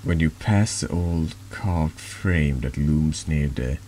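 A man with a deep voice reading horror narration slowly and haltingly in an ASMR style, over background noise. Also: dark tone. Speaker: vtuber reading a text game aloud.

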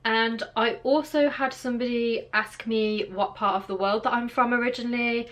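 A woman's voice: on the high side, steady tone, normal speed, fairly bright tone. A woman in her late twenties from Birmingham, England, with a local accent, chatting to camera in a casual Q and A from her bedroom, rambling with lots of fillers. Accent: Birmingham English. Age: 20s. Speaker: vlogger. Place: bedroom with clothes rail, indoors.

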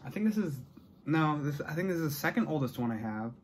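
A man's voice: a little high, expressive, fast talker. Young American man chatting, noisy recording. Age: young adult. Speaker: vlogger showing a collection haul. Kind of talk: casual chat to camera. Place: small bedroom, indoors.

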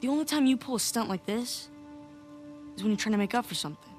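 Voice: mid-range voice, expressive, fast, soft background music, natural voice. A performer playing a hurt, smart-mouthed young boy in a video game cutscene, blunt and challenging. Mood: suspicious.

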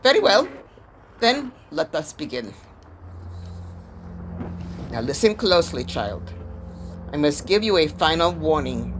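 Slow, low-voiced old spirit medium. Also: female voice, big pitch swings, a little hiss or hum. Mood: solemn incantatory. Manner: solemn, dramatic, deliberate pronouncements. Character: weary, wary old female spirit medium, grave and foreboding. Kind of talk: theatrical audio drama acting.

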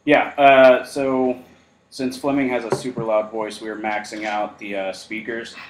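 A man's voice: medium pitch, level tone, measured pace, a crowd in the background. A man hosting a podcast in casual chat, joking and self-deprecating. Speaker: podcast host. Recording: roomy echo.

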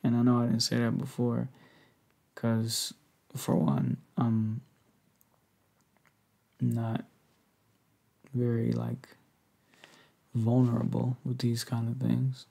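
A young man speaks softly and hesitantly in an intimate, breathy ASMR boyfriend roleplay, tender and low-key. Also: monotone, takes it slowly, pauses often. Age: young adult. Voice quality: soft, breathy, gravelly. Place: indoors, lying on a bed in a bedroom.

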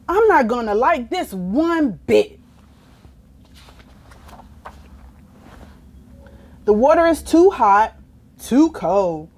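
A woman's voice: high voice, heavily acted. A woman voicing a stubborn, dramatic, cheeky storybook pigeon with exaggerated, whiny delivery. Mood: complaining, fussy. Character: stubborn, dramatic, cheeky male pigeon who hates baths. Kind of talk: children's storybook character reading.

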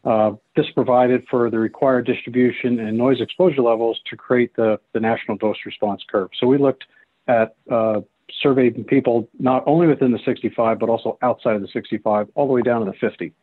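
A man in his fifties gives formal expert answers at a mid pitch. The connection is thin and phone-like, with a very dry sound. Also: fairly even intonation, brisk, no room sound. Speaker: technical consultant on a panel. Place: indoors on a video call. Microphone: computer video-call microphone. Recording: narrow phone-like call audio, dry.

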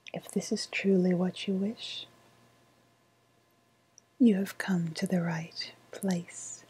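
A woman playing a sly woodland witch in an ASMR roleplay, speaking in a soft, breathy whisper with a deliberate, coaxing manner. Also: lowish voice, heavily acted, poor audio. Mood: grave, cautioning. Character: sly woodland witch, dealing in dark love magic.

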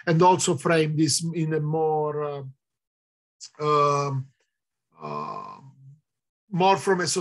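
A middle-aged man with an Italian accent answering audience questions in an online talk, hesitant with many fillers, heard over a video call. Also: a little high. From Italy. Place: indoors, virtual background.

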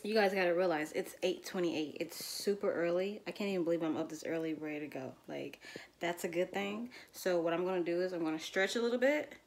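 A woman's voice: fairly low pitch, fairly quick, slight background noise. Chatty young woman vlogging on her phone. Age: young adult. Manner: chatty, rambling, many "like" fillers. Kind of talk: casual vlog chat. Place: home and car, indoors. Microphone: handheld phone camera.

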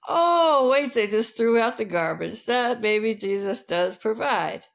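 A lovable, hungry farm dog voiced by a woman storyteller. The dog talks in a folksy, eager way, forceful in volume and full of affection. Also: a little high, expressive, unhurried, vocal fry. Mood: hungry, affectionate.

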